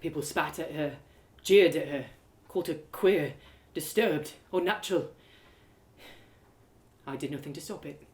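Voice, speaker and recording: A woman acts out a wounded young man in an audio story. He is brooding and bitter, telling of old hurts with anguish. The voice sits low, in an echoey room. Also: unhurried, long pauses. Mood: grim, remorseful. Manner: brooding, anguished, matter-of-fact. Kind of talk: dramatic audio story acting. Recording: roomy, echoey live reading.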